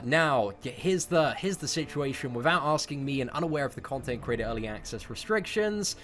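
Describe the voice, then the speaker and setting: Young British man telling a story over music. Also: medium pitch. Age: young adult. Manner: slangy, sweary, emphatic. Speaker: gaming video host. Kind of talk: casual storytime monologue to viewers.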